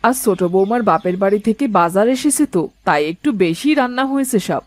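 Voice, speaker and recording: A woman voicing a hospitable, gently bossy older mother-in-law in a cartoon family drama. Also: mid-range voice, expressive, fairly quick, no echo, lightly acted. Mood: homely. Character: hospitable, kindly older Bengali mother-in-law, head of household.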